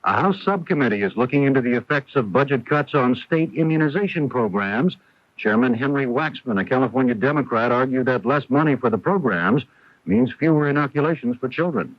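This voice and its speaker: A man's voice: mid-range voice, lively intonation, a little fast, no room sound. Man, American, radio news anchor, scripted delivery, dry studio sound, lo-fi radio recording. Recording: dull lo-fi 1980s radio broadcast.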